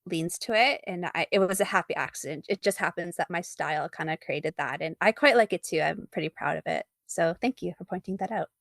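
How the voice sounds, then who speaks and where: A woman speaking as an interview guest, an artist chatting casually about her own work in an animated, informal, giggly way. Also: on the low side, a little fast, vocal fry, hardly any pauses, no echo, studio quality.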